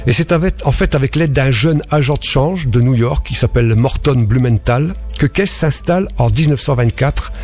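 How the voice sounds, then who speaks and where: A man from France, a radio guest telling history slowly, with many fillers and restarts. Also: mid-range voice, darkish tone, faint music behind. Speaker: guest speaker on spiritualism. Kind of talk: radio talk explaining history.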